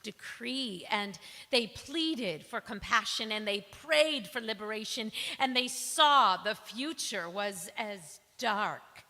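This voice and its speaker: A woman's voice: on the high side, animated, slow, hardly any pauses, bright, forceful tone. A woman performs dramatic spoken word with a theatrical, rhythmic delivery. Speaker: cast member in a dramatic reading. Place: large synagogue hall. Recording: reverberant large hall.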